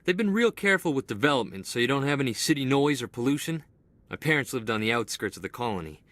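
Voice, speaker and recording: An actor playing an eager, restless young male corporal in a video game cutscene, talking in an excitable, boyish way. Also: medium pitch, fast, no room sound, lightly acted. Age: young adult.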